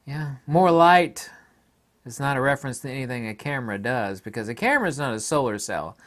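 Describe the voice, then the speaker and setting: A man's voice: mid-range voice, expressive, fairly quick, fairly bright tone. A man giving an opinionated photography vlog monologue, speaking in an emphatic, blunt and scornful way. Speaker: photography YouTuber.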